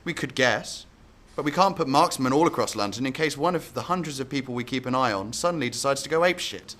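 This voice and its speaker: A man's voice: mid-range voice, animated, quick pace, a bit bright, lightly acted. A man playing a dry, matter-of-fact intelligence official in a radio drama. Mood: brisk, dry.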